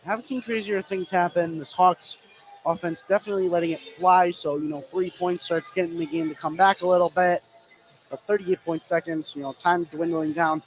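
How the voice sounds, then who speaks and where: A man's voice: high voice, fairly even intonation, moderate pace, soft background music, poor audio. A man doing live play-by-play basketball commentary as a radio sports announcer. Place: indoor basketball gym, live radio broadcast. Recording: clear background noise, live gym ambience.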